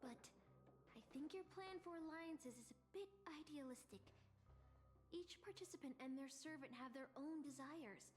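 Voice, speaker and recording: An actress playing a cool, skeptical female servant advising her master in a video game, measured and faintly admonishing. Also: high voice, lightly acted. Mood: cool, cautioning. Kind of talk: scripted video game voice acting.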